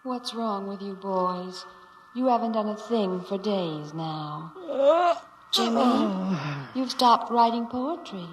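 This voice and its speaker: Lovesick robot woman, very slow, tender, possessive, deep reverb, 1950s sci-fi radio play. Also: animated. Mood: gently reproachful. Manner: slow, romantic, coaxing. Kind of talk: 1950s radio drama acting. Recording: reverberant, noisy old radio recording.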